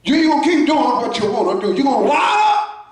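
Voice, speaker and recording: High-voiced shouting preacher in an echoey church. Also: male voice, big pitch swings, a little fast, far from the mic. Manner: preaching in shouted bursts with call-outs to the congregation. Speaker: pastor. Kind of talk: sermon. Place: church sanctuary.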